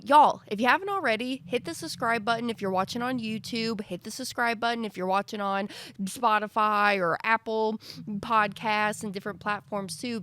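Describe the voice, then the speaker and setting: A woman's voice: on the high side, fairly bright tone. A young woman hosting a podcast in a chatty, folksy way. She is congested and stuffy-nosed from allergies. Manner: chatty, folksy, says y'all often. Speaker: podcast host. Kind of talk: casual podcast talk to viewers. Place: screened-in patio at a house.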